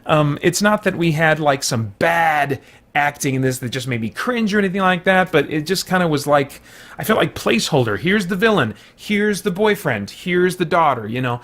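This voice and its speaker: An American man speaks as a review host in a fairly high male voice. His delivery is casual and unscripted. He talks conversationally and thoughtfully, often stopping to rephrase a point as he works through it. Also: animated, normal speed, a bit bright. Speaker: film reviewer and show host.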